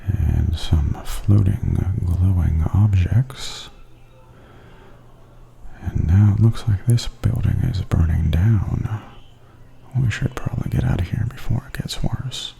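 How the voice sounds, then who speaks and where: Very dark, hushed male ASMR voice. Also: low-pitched, takes it slowly, slight background noise. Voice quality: hushed, breathy half-whisper. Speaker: ASMR host and tour guide. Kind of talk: softly spoken ASMR commentary. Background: theme park haunted attraction ambience.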